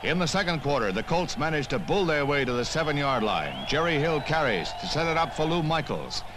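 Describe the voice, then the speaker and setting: A man's voice: a little high, sing-song, a little fast, noisy background. An American man reading scripted 1960s newsreel narration in a punchy, wry manner, on an old film soundtrack. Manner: punchy, wry, punning delivery. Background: music.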